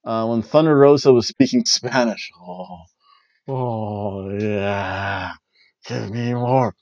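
A man's voice: lowish voice, slow pace, a little mellow, no room sound. A man in his forties casually recapping wrestling shows from a small home room, jokey and loose with playful exclamations, on a headset mic. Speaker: wrestling review host. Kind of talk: casual review chat. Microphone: headset mic on a webcam call. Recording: dry, dull lo-fi webcam audio.

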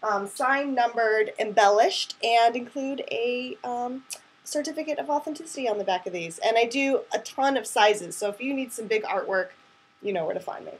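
A woman's voice: on the high side, moderate pace, slightly rough, rough recording. A woman in her twenties chatting casually to camera as an artist hosting her own show, in a rambling way peppered with "like", recorded in a small room at home. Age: 20s.

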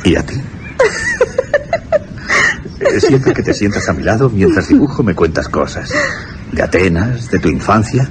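An actor playing an earnest, dreamy young English gentleman archaeologist in a Spanish radio drama. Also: low voice, unhurried, long pauses, background noise, full character voice. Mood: tender, curious. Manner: dreamy, expressive delivery. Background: music behind the voice.

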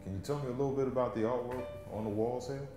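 A man interviewing a cafe owner, asking measured, formal questions. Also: medium pitch, fairly even intonation, echoey room, music in the background.

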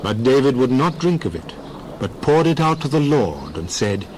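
A male narrator with a mid-pitched, highly varied delivery reads scripture formally and with measure. Faint noise sits behind the voice. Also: sing-song, moderate pace, a little mellow.

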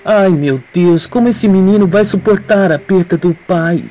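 A man's voice: high-pitched, normal speed, warm tone, faint music behind, voice pushed up, lightly acted. A man voicing a kindly, concerned female nanny with dramatic delivery in an amateur radio soap opera.

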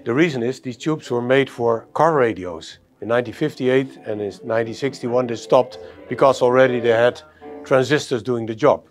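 A man's voice: medium pitch, normal speed, soft background music. A middle-aged man giving a technical product presentation in an indoor exhibition room. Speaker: audio company presenter.

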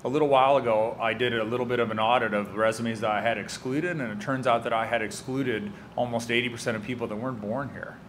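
A man's voice: medium pitch, steady tone, quick pace, hardly any pauses, a bit bright, slight background noise. A man in his thirties whose first language is French, answering student questions on a panel in a lecture room at a table mic, earnest and emphatic. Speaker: panelist, public servant. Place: university lecture room, indoors. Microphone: table microphone, seated.

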